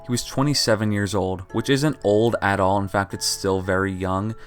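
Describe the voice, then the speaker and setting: A man's voice: on the low side, fairly even intonation, moderate pace, soft background music. A young man in his early twenties giving an earnest, pleading motivational talk to his viewers. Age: young adult. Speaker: YouTuber. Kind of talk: motivational talk over gameplay.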